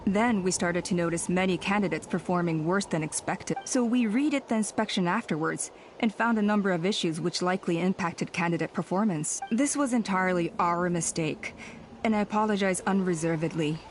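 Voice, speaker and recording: A woman's voice: on the low side, slight background noise, lightly acted. Woman playing a dignified, courteous warrior elder. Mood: apologetic. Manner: formal, measured, earnest. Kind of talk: anime game voice acting.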